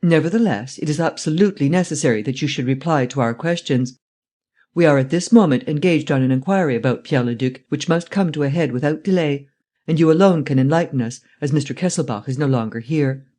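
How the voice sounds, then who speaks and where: A man voicing a formal, authoritative police chief in an audiobook, polite, measured and insistent. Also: a little high, mellow, close to an everyday voice. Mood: composed, insistent. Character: composed, formal, authoritative middle-aged French police chief. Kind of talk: audiobook character voice.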